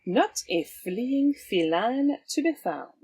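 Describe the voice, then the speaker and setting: A woman's voice: fairly high pitch, sing-song, slow, darkish tone, husky, full character voice, poor audio. A woman playing a cool, wry swashbuckling vigilante with a sly, teasing, theatrical manner in an audio drama. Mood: wry, confident.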